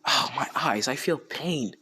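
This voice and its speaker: A man's voice: mid-range voice, expressive, a little slow, sharp tone, husky, full character voice. A man voicing a bitter, vengeful young male ninja in a muttered, half-whispered voice. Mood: pained.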